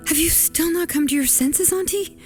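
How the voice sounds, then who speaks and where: An actress playing an earnest, stern young woman in a dramatic video game, speaking gravely, deliberately and with reproach. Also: extremely high pitch, darkish tone, soft background music. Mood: stern, reproachful. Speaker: voice actor.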